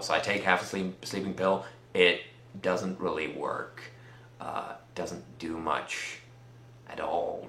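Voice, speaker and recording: A man with a Swedish accent telling a personal story in a casual, rambling vlog style, halting and pausing often as he goes. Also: sits low, bright, forceful tone, echoey room, a little hiss or hum. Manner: halting, conversational delivery with many pauses. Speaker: vlogger.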